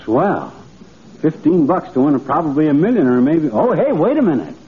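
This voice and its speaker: Cheerful small-town braggart, swooping higher-pitched delivery, folksy and excitable, radio comedy, dull noisy old recording. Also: male voice, moderate pace. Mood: eager. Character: boastful, cheerful, gullible middle-aged small-town man.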